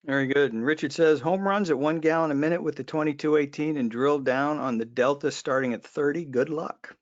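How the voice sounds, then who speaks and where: A man with a fairly high voice hosts a loose, casual live chat over muffled online audio. He reads viewer comments in an informal way, often doubling back to repeat a phrase. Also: fairly quick. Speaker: host of a trade webinar. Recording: dull lo-fi online call audio.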